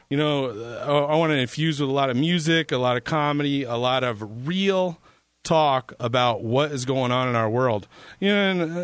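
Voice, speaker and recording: A man's voice: on the high side, bright, forceful tone, no room sound. A man filling in as a talk radio host, rambling casually with a sarcastic edge and frequent restarts.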